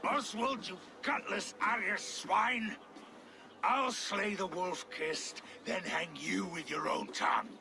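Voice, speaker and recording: A man playing a vengeful, contemptuous warrior villain with a gravelly voice in a video game drama. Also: high voice, takes it slowly, full character voice. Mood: menacing, enraged.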